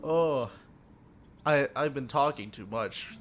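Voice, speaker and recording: A man live streaming a visual novel with casual, animated, self-deprecating and profane commentary. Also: mid-range voice, slow pace, faint music behind.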